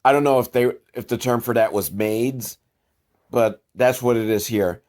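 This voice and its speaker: A man's voice: mid-range voice, steady tone, normal speed, darkish tone. A man hosting a comedic film review show, talking in a casual, rambling, wry way and swearing freely.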